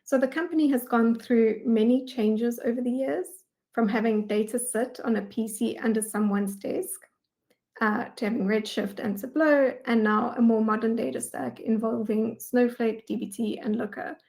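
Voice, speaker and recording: Creaky, higher-voiced South African woman presenting. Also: fairly even intonation, moderate pace, darkish tone. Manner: informal, conversational. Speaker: guest speaker, data engineering manager. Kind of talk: prepared webinar presentation.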